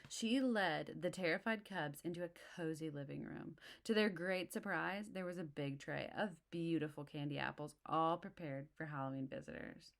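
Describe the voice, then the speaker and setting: A woman's voice: low voice, moderate pace, creaky, hardly any pauses. A woman reading a storybook aloud expressively for young children, adding simple explanatory asides. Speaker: storybook reader.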